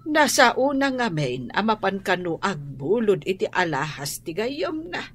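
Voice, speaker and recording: A woman's voice: mid-range voice, sing-song, measured pace, fairly bright tone, a little hiss or hum. An actress playing a worried, chiding elderly mother in an Ilocano radio drama.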